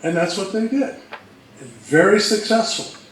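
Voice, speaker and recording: A man co-presenting an informal history talk, explaining things in a measured, step-by-step way. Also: high voice, lively intonation. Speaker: co-presenter at a fan convention talk. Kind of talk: informal lecture on old radio history. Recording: very echoey room, distant mic, faint noise.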